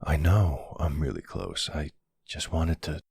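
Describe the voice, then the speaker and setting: Man acting a deep, gravelly, smitten mafia boss. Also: very animated, no echo, exaggerated voice. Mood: tender, playful. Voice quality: low, gravelly, hushed. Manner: tender, hesitant, unhurried, earnest. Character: soft-spoken, courteous, smitten male mafia boss. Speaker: audio roleplay voice actor. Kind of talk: intimate ASMR boyfriend roleplay.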